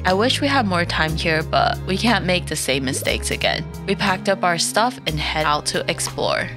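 Calm lower-voiced woman narrating a vlog. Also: normal speed, over music. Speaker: vlog host. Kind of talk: scripted voice-over narration.

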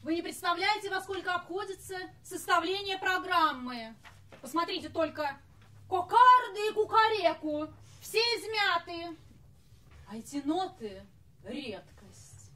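A woman's voice: very high-pitched, expressive, sharp tone, exaggerated voice. An actress playing a haughty, strict lady café orchestra leader on stage, speaking in an imperious, theatrical manner. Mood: indignant. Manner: imperious, theatrical, measured enunciation.

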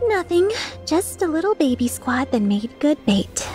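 A woman's voice: sits high, very animated, a little slow, a little mellow, soft background music. A woman voicing a cocky female mercenary leader in a video game fan dub, playful, sarcastic and taunting with an edge of menace. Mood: dismissive, amused.

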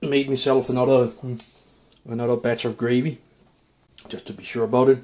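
A man casually narrating a home cooking vlog in a laid-back, somewhat halting way. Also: medium pitch, level tone, a little mellow. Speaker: home cook, video host. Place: indoors in a home kitchen.

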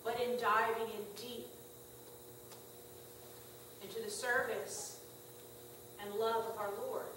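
A middle-aged woman pastor giving a conversational, gently humorous sermon in a small church. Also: fairly high pitch, little pitch movement, takes it slowly, long pauses, a little hiss or hum. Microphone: far room camera. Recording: echoey church from far off.

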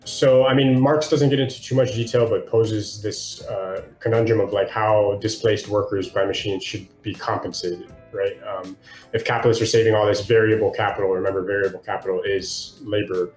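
A man's voice: fairly low pitch, fairly even intonation, normal speed, faint music behind. A man in his thirties co-hosting a casual explanatory discussion over a video call from a room at home. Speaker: co-host of a read-along book discussion show. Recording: roomy, echoing video-call audio.

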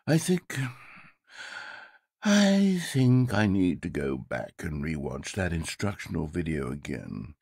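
A fussy, theatrical game narrator. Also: male voice, extremely low pitch, big pitch swings, slow pace, creaky, a little mellow, breathy edge, heavily acted. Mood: self-pitying. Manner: theatrical, fussy, swings between pride and self-pity. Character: fussy, vain male narrator obsessed with comedy. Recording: dry close studio sound.

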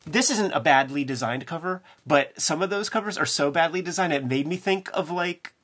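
A man's voice: on the high side, moderate pace, a bit bright. A man in casual chat about comics, enthusiastic and slangy, saying "man" and "dude" often. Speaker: co-host.

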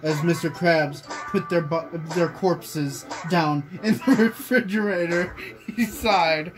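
A man's voice: on the high side, takes it slowly, rough recording. Young man reading aloud over music. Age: young adult. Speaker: friend reading fan fiction aloud. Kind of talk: amateur read-aloud with casual chat. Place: small bedroom, indoors. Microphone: phone camera nearby.

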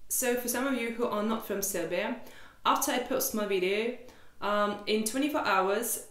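A woman's voice: mid-range voice, roomy sound. A young woman from Russia with a Russian accent, vlogging to camera in casual, candid speech that turns sarcastic and swears freely. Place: small room indoors.

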